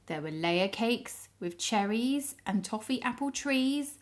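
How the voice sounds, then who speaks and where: A woman reading a story aloud to young children in an expressive storytelling style. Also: fairly high pitch. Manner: pauses to ask the child listeners questions.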